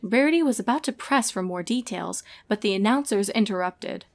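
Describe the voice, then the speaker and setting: A woman reading scripted story narration in a measured, expressive storytelling manner. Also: mid-range voice. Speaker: fan fiction reader and narrator.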